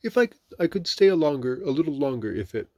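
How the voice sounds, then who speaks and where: A man's voice: a little high, big pitch swings, quick pace, warm tone, no room sound, studio quality, voice pushed up. A man voicing a bumbling, good-natured, greedy toy bear from a children's storybook, hesitant and given to exclaiming. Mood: polite, eager. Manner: hesitant, repeating himself, exclaiming.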